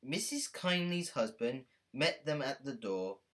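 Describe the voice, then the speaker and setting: A woman narrating a children's story from a script in an expressive, deliberate way. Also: extremely low pitch. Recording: music under the voice. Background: music.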